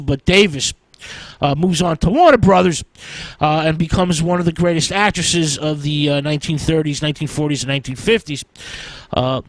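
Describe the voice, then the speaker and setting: A man with a noticeably high-pitched voice presents like a history show host. He speaks in short, halting chunks, informally lecturing as if from notes. The sound is close and dry, with very little room in it. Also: fairly bright tone, no echo, low-quality recording. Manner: halting, choppy delivery in short phrases.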